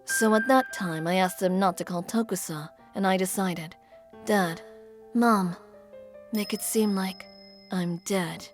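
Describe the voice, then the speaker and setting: A woman playing a composed, coolly vengeful young older sister in a manga dub, speaking deliberately and resolutely. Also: medium pitch, soft background music, natural voice. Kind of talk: anime-style manga dub acting.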